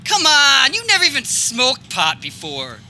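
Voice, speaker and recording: A woman's voice: sits high, wildly swooping pitch, unhurried, exaggerated voice. A woman playing a crude, sarcastic, hot-tempered teenage boy in a live outdoor comedy radio play. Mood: sneering, cool. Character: foul-mouthed, hot-tempered, sneering rebellious teenage boy. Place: backyard, before a live audience. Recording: live outdoor recording, faint background noise.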